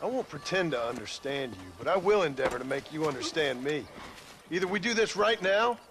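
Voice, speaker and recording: Blunt, impatient Western gunslinger. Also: male voice, a little high, sing-song, fairly quick. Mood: impatient, threatening. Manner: curt, menacing, unimpressed. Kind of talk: Western video game acting.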